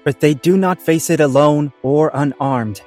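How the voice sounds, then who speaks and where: A man's voice: on the high side, unhurried, a little mellow, faint music behind, close to an everyday voice. A man playing a pompous small-town amateur thespian, declaiming in a comedy audio drama. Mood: proud, grand. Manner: mock-archaic, declamatory.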